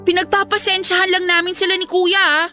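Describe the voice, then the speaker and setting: Radio drama little sister: very high, plaintive, polite, loyal, childlike. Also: female voice, flat delivery, brisk, pushed and bright. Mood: aggrieved. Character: young adopted daughter, aggrieved, loyal to her brother. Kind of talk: emotional radio drama acting. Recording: music bed behind the voice.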